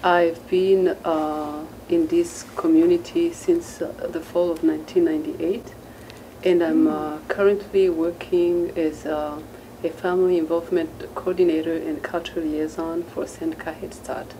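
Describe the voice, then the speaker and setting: Low, mellow Burundian woman, halting. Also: level tone, recorded from a distance, a little hiss or hum, low-quality recording. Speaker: panelist, community liaison. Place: conference room, indoors.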